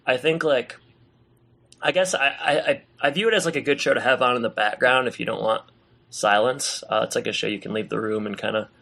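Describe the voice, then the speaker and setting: A man's voice: medium pitch, brisk, gravelly, sharp tone. An American man, around his thirties, chatting casually as a podcast co-host with a teasing, informal manner. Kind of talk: casual chat.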